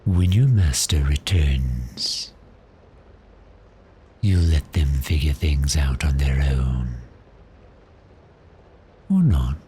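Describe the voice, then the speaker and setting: A man voicing a suave, teasing vampire in an ASMR roleplay, speaking in a breathy, seductive half-whisper with polite menace. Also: a bass voice, animated, drawn-out words, long pauses, dark tone, a little hiss or hum, exaggerated voice. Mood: soothing, commanding. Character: cheerful predatory male vampire, suave and teasing. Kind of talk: ASMR roleplay, slow intimate monologue.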